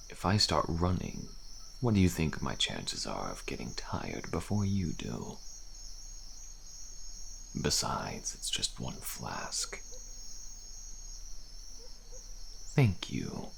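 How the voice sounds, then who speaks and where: Frail old male deserter, ASMR fantasy roleplay: slow, wary, helpful, thick gravel, faint cricket noise behind. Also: full character voice. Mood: coaxing, self-deprecating. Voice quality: very deep, gravelly, breathy at times. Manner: slow, archaic, deliberate, often dropping to a murmur.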